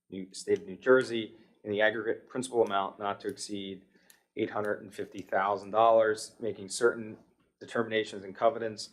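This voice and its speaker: An American man chairing a township meeting, formally reading out long ordinances and motions in a procedural way. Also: on the low side. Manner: procedural, reading long legal text aloud. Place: township public meeting room.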